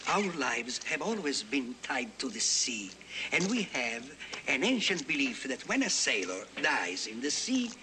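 A Greek seafaring man in a TV drama, warm and whimsical. His accented speech is expressive and widely varied in pitch, like a born storyteller's. Clear outdoor noise surrounds the voice. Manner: expressive, theatrical, storytelling delivery. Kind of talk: acted television drama dialogue. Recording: noisy outdoor waterside ambience.

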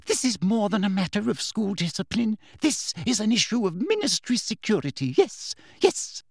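Man doing a high-pitched cruel witch official, prim, menacing, restless, storybook reading. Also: very animated, moderate pace, vocal fry, husky, no echo, clean studio sound, exaggerated voice.

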